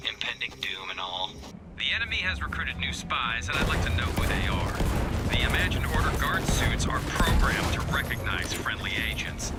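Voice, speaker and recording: An actor playing a vain, flippant scientist in a video game, with a wry, theatrical, self-satisfied delivery. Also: male voice, mid-range voice, natural voice. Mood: excited, flippant, then mock polite. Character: vain, flippant male scientist and resistance leader, playful and sarcastic. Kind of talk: scripted video game character dialogue.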